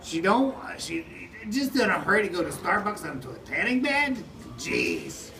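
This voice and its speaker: A woman's voice: fairly low pitch, huge pitch swings, normal speed, over music. A woman playing a brusque, grumbling pizza maker in broken English for an improvised comic puppet show. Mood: scornful, exasperated. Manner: broken English with dropped words. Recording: roomy, distant mic with background noise.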